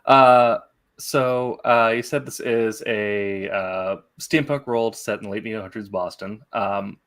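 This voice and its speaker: A man with a fairly low voice hosts a casual interview. He phrases his questions haltingly, feeling his way toward each point. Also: a bit bright. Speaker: show host.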